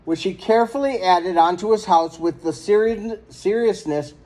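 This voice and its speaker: A man's voice: high voice, normal speed, warm tone. A man reading a children's picture book aloud as a storyteller.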